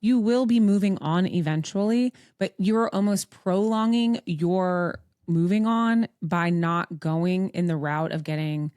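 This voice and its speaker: A woman's voice: lowish voice, measured pace, few pauses, a little mellow, clear, resonant tone, no echo, clean studio sound. A woman from Montreal with a Canadian accent, hosting a casual advice talk in a blunt, sweary, emphatic manner. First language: English. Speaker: podcast host.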